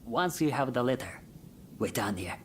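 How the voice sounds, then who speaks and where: A man's voice: medium pitch, animated, normal speed, a little hiss or hum, natural voice. A man playing an indifferent hired informant in a video game, terse, dry and offhand. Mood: cool, indifferent. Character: cool, indifferent mercenary informant. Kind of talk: dramatic video game dialogue.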